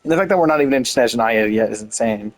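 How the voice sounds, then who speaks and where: A man's voice: mid-range voice, animated, fast talker. A man giving casual playthrough commentary as a game streamer, muttering offhand asides. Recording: game music behind the voice.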